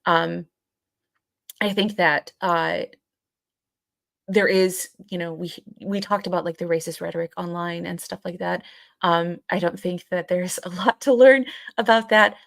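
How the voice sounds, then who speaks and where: A woman co-hosting a podcast in casual discussion, thinking aloud in a hesitant, halting way. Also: lowish voice, fairly even intonation, vocal fry, dry recording, studio quality. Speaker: podcast co-host.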